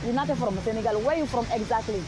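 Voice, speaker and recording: A woman's voice: fairly high pitch, fast, a loud crowd. A Malian-accented woman telling a rambling personal story outdoors, repeating herself and checking in with "all right?". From Mali. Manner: repetitive, insistent, frequent "all right?" checks. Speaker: street interviewee. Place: busy city street outdoors. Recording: noisy outdoor street recording. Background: traffic and street noise.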